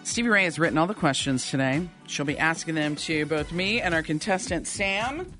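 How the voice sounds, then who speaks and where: Low-pitched woman hosting morning radio. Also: expressive, moderate pace, over music. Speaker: morning radio show host. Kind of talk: casual on-air banter. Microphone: studio radio microphone.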